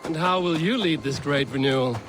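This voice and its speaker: An actor playing an honorable, plain-spoken Viking warrior in a video game, earnest and gently teasing. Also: male voice, on the high side, a little fast, over music, lightly acted. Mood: wry. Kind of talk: dramatic medieval video game dialogue.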